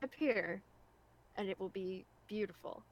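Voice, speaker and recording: A woman's voice: mid-range voice, unhurried, low-quality recording. A woman chatting casually on a group livestream, with a blunt, jokey way of talking. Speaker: co-creator on a web series discussion stream.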